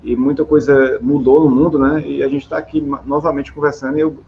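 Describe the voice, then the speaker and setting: Middle-aged man, Brazilian accent, average pitch, rambling halting chat host, muffled recording. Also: flat delivery. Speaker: interview host. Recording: dull, lo-fi, clear background noise.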